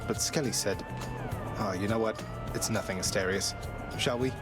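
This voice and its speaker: An actor playing a cool young underworld prince in a video game, speaking in a measured, polite, dry way. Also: male voice, on the low side, loud music behind the voice, close to an everyday voice. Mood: dryly wry. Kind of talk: video game character dialogue.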